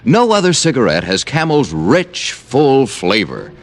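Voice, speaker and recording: Emphatic, highly animated male radio pitchman. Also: a little slow. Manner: polished, emphatic salesmanship. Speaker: commercial announcer. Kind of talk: 1950s radio advertisement read.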